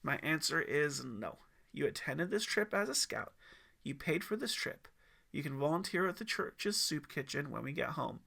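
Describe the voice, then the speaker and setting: A man's voice: fairly high pitch, big pitch swings, normal speed, gravelly, sharp tone, full character voice. A man playing a firm, encouraging dad who leads a scout troop, speaking with a commanding manner.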